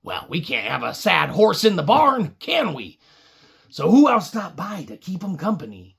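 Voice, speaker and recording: A woman's voice: on the low side, sing-song, moderate pace, creaky, husky. A woman improvising a comic children's puppet-show story, rambling along with wry asides.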